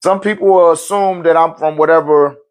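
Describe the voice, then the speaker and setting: A man's voice: fairly high pitch, steady tone, fairly quick, darkish tone. Casual, slangy man on a live stream. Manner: loose, conversational, slangy, laughs often. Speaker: spiritual live-stream host. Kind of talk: casual live-stream talk answering viewer questions.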